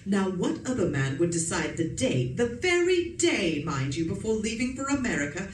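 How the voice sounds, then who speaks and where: A woman's voice: mid-range voice, big pitch swings, moderate pace, lightly acted. Woman playing a bossy, haughty wife with swooping delivery. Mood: breezily certain. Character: imperious, nagging, self-assured English society wife. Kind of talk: old-time radio suspense acting. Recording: echoey room, distant mic, noisy background.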